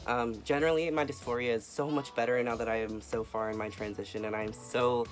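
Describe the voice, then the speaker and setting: A young man talks softly and earnestly into his camera, filling gaps with ums and uhs. His voice sits at an ordinary middle pitch for a man. Light music plays faintly behind him. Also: steady tone, fast talker. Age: young adult. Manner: soft-spoken, earnest, with frequent fillers. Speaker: vlogger. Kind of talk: casual personal update.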